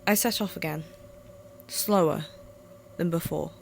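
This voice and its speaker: Creaky, low-pitched woman narrating a horror story. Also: a little slow, soft background music, low-quality recording. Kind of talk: scripted first-person story narration. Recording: faint background noise, amateur recording.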